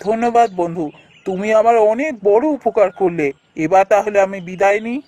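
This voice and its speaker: A man's voice: sits high, brisk, warm tone, lo-fi sound. A man voicing a kind, earnest young prince in a fairy-tale cartoon. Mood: friendly, grateful.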